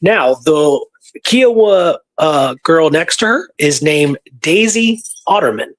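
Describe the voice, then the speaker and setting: A man's voice: a little high, expressive, takes it slowly. A man presenting history as casual storytelling, talking informally and repeating words for emphasis.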